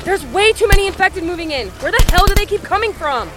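A woman's voice: very high-pitched, expressive, fast talker. A woman playing a capable, protective fighter in a zombie apocalypse audio drama. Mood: alarmed. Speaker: radio operator for a survivor colony. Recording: loud music and gunfire behind.

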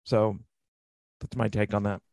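A man on a talk show as a comedy guest, loud and rambling with self-mocking jokes. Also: on the low side, flat delivery, measured pace, gravelly, dry recording. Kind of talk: casual comedic chat.

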